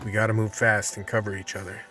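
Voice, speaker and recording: A man's voice: lowish voice, flat delivery, quick pace, sharp tone, recorded from a distance, poor audio. A man playing a tough, gun-toting action hero who narrates his story in a gritty, colloquial radio play style. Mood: terse. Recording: music bed under the voice.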